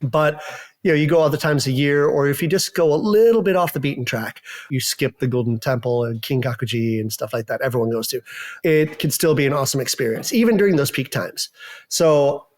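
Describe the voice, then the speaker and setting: A man from the United States, a guest in a casual podcast interview. His voice sits at a middle pitch, and he explains in a relaxed, easygoing way. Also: fairly quick. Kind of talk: conversational interview.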